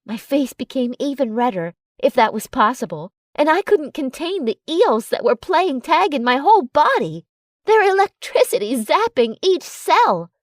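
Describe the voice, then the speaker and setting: Animated high-pitched woman reading a romance story. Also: moderate pace, no room sound, studio quality. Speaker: audiobook narrator. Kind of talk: expressive first-person romance story reading.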